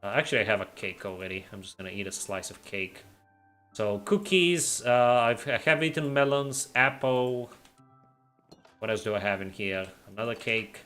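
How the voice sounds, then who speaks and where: A man's voice: on the low side, normal speed. Laid-back streamer guy, throaty r's, chatting with chat while he plays, faint music behind. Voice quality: R sounds made in the throat. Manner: relaxed, reads and answers chat while playing. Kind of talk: casual livestream chat.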